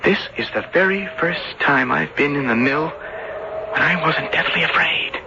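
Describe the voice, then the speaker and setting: Intense, high-pitched young believer. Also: male voice, measured pace, gravelly. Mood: earnest wonder. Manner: earnest, dramatic, eerie conviction. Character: young man, intense believer in mill spirits. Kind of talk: old-time radio drama acting. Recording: muffled, very noisy old radio recording.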